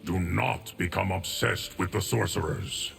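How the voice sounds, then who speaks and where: An actor playing a grave, weary and dignified inhuman male warrior in a video game, gravelly and measured. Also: deep voice, fairly even intonation, a bit bright, soft background music, full character voice. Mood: solemn. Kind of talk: dramatic video game dialogue.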